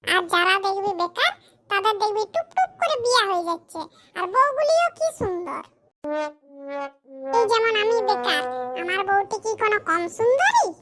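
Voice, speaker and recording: A performer voicing a lazy, boastful, hard-drinking husband in a comedy cartoon, with a rural West Bengal accent and a pitch-shifted voice. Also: sing-song, moderate pace, pushed and bright, faint music behind, exaggerated voice. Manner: colloquial rural speech, boastful, cajoling. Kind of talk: comedy cartoon skit dialogue.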